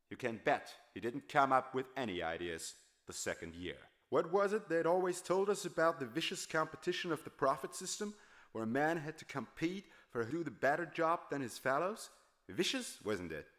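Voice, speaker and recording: Male audiobook narrator, mid-pitched, dramatic first-person storytelling delivery. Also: a bit bright.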